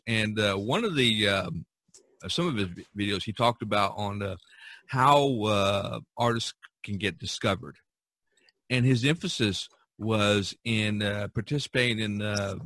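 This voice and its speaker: A man chatting casually as a podcast co-host, haltingly searching for his words. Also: fairly low pitch, fairly bright tone, dry recording. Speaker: podcast co-host, representational artist.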